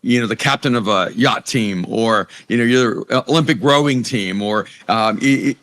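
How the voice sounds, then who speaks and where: A man's voice: medium pitch, moderate pace, a bit bright. A middle-aged man from El Salvador, a Spanish native speaker, talking earnestly as an interview guest and often restarting his sentences. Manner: earnest, many restarts and repeated words. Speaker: author and pastor guest. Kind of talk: conversational interview.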